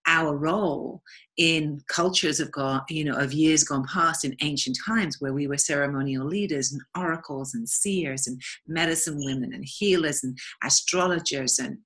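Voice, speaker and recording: A woman's voice: sits low, normal speed, fairly bright tone, husky. A woman with an English accent speaking as a guest in an interview, earnest and reflective in a flowing manner. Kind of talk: spiritual interview talk.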